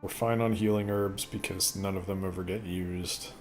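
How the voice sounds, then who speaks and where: A man rambling casually while commentating on a gameplay video, with a voice he says sounds deeper since an illness. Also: fairly even intonation, normal speed, faint music behind. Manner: rambling, chatty, thinks aloud. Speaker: gaming video host. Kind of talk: casual let's play commentary.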